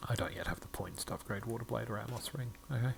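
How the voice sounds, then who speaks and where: An older man giving let's-play commentary, reading game text aloud and thinking aloud in a halting way. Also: mid-range voice, vocal fry, background noise. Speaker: gamer playing and narrating a game.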